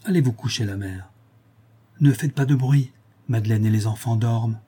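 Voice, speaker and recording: A man's voice: medium pitch, moderate pace, mellow, breathy edge, close to an everyday voice. A man voicing a weary, exasperated poor father in a storybook reading, pleading and scolding. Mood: weary, hushed. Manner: pleading, scolding, raising his voice.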